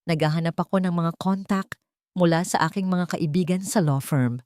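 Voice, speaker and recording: Female narrator for dramatic audio fiction, low register, dry close sound. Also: moderate pace, a little mellow, dry recording. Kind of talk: scripted dramatic first-person narration.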